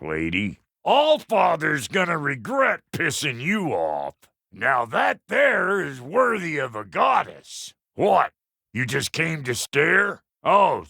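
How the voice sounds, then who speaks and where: An actor playing a gruff, cocky dwarf blacksmith in a video game, with a rough American accent and a blunt, folksy, cantankerous way of talking. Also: male voice, on the high side, bright, forceful tone, dry recording, clean studio sound, exaggerated voice. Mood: irritable. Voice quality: gravelly, rough. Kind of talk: video game character lines.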